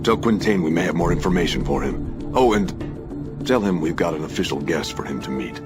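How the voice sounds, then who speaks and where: An actor playing a grave, measured, authoritative male commander of a space fleet in a game cutscene. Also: low-pitched, expressive. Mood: grave, commanding. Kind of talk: scripted game cutscene acting. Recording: game soundtrack music behind the voice.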